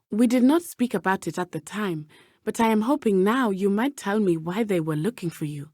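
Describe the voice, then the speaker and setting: A woman's voice: mid-range voice, a little mellow, breathy edge, no echo, clean studio sound. A woman with a Zimbabwean accent voicing a brave, earnest teenage witch in a video game, speaking in formal, precise phrases. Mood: serious, determined. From southern Africa (Matabeleland). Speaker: student companion character in a video game.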